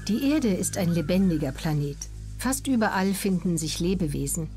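A woman narrating an educational audiobook in a measured, clearly articulated, scripted reading. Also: lowish voice, expressive, dark tone, faint music behind.

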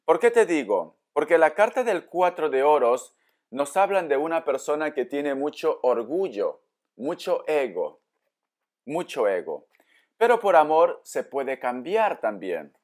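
A man's voice: fairly high pitch, big pitch swings, measured pace, a little mellow. A man giving an informal tarot reading straight to viewers, explaining as he goes and answering his own questions. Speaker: tarot reader, host. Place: indoor room with books at a table.

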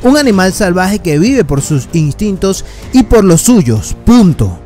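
A man's voice: high voice, very animated, unhurried, mellow, soft background music. A man hosting his channel, giving a casual spoken film review as a voice-over. He talks earnestly and addresses his viewers as friends.

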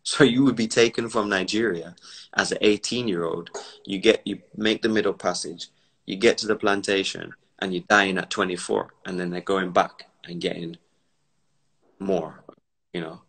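A man's voice: low voice, steady tone, normal speed, vocal fry, a bit bright, slightly rough. A man from Barbados, raised in the UK, talking emphatically in a casual live video chat, lecturing at length and acting out quoted voices on a phone at home. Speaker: entrepreneur and commentator. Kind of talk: casual live-stream conversation. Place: indoors at home. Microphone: phone on a live video call.

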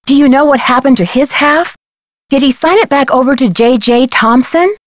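A woman's voice: high-pitched, moderate pace, dry recording, close to an everyday voice. An actress playing a polite, earnest, determined young woman detective in a video game. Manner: courteous, earnest.

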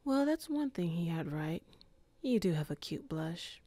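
Cool female boss, woman voicing her, lowish voice, calm and measured, dry close mic. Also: no echo, heavily acted. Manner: calm, measured, softly flirtatious. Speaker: ASMR roleplay performer. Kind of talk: ASMR roleplay.

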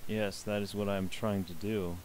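A man's voice: lowish voice, little pitch movement, moderate pace, darkish tone, a little hiss or hum. A young man streaming a social VR game, chatting casually and politely pestering the strangers he meets. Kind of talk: casual gaming commentary.

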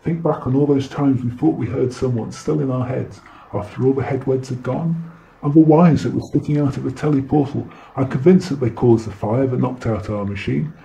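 A man's voice: mid-range voice, fairly quick, vocal fry, dark tone, a little hiss or hum, natural voice, rough recording. A man playing a vain, boastful alien who relays messages telepathically in a pompous, theatrical way for a comic audio drama. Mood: conspiratorial. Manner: pompous, smug, theatrical, easily sidetracked.

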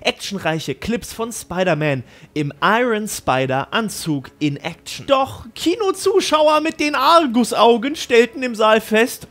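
A man with a high-pitched voice hosts a scripted video commentary on film. He addresses viewers directly and leans on his words with emphasis. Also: lively intonation, unhurried, hardly any pauses, a bit bright. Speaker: YouTube host on film news.

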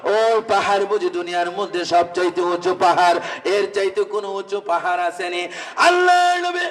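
A man delivers an impassioned Islamic sermon, half-singing his storytelling with repeated phrases and drawn-out calls. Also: sits high, animated, a bit bright, recorded from a distance. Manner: chanting, repetitive, exhorting with drawn-out calls. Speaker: Islamic preacher. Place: religious gathering, amplified from a distance.

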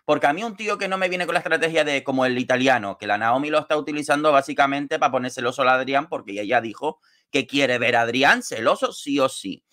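A man's voice: medium pitch, fast, fairly bright tone. A man, giving wordy, gossipy reality-show commentary in casual talk on a video call from home. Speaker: online show co-host and commentator.

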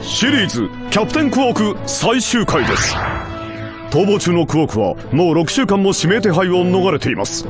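Dramatic, high-pitched TV announcer. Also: male voice, very animated, moderate pace. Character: dramatic male TV documentary announcer, grandiose. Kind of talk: TV show narration parody in a game. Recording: music behind the voice.

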